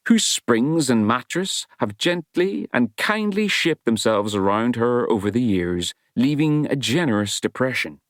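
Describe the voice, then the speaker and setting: A man reading a novel as an audiobook narrator, in a wry storytelling style with measured, dramatic emphasis. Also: mid-range voice, big pitch swings, no echo, clean studio sound.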